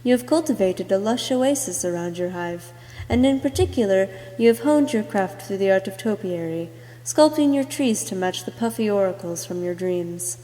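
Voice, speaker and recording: A woman's voice: medium pitch, animated, moderate pace, mellow. A woman reading a scripted character introduction as an amateur voice actor, in a narrated storytelling style. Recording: echoey, long room reverb.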